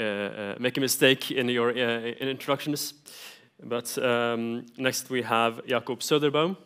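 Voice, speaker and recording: A man introducing speakers as a conference moderator, in a hesitant, halting way. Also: medium pitch, a bit bright, smooth. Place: conference hall stage.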